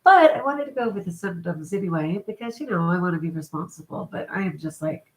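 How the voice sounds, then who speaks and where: Stuffed-up woman with a cold, chatty and upbeat, kinda low voice, live stream vibe. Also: animated, fast, rough recording. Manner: chatty, upbeat, laughs between remarks. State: congested with a cold. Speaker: vlogger. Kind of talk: casual live-stream chat.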